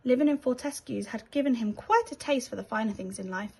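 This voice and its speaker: A woman with a British accent reading a children's bedtime story aloud in an expressive storytelling style. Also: a little high, fairly quick, creaky, slightly rough.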